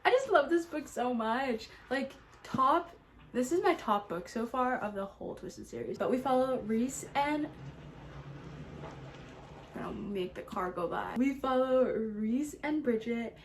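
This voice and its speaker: A woman's voice: fairly high pitch, animated, unhurried, a little hiss or hum. Gushing woman chatting about books. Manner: gushing, emphatic, repeats words for emphasis. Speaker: book vlogger. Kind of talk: casual chatty video talk about books.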